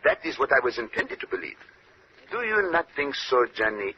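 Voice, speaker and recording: An actor playing a courteous, shrewd Belgian detective with a French-speaking accent and formal, theatrical phrasing in an old radio drama. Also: male voice, mid-range voice, big pitch swings, normal speed, natural voice. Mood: urgent. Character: courteous, shrewd, fussy Belgian detective, self-assured middle-aged man. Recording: muffled, noisy old radio recording.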